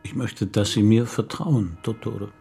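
An actor in a radio play playing a calm, courteous middle-aged police commissioner who speaks in a measured, probing way. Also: male voice, fairly low pitch, soft background music, full character voice. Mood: probing, polite. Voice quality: gravelly, husky.

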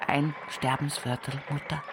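Radio play role: rural Bavarian daughter, lowish voice, intimate, halting, musing at a bedside, very measured pace. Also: female voice, level tone, noisy background. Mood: wistful musing. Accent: Bavarian, Upper Palatinate. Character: earthy rural daughter reminiscing at her mother's sickbed.

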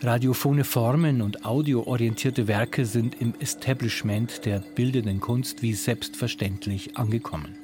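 A man's voice: mid-range voice, steady tone, unhurried, hardly any pauses, music in the background. A man reading a scripted radio feature as an arts reporter.

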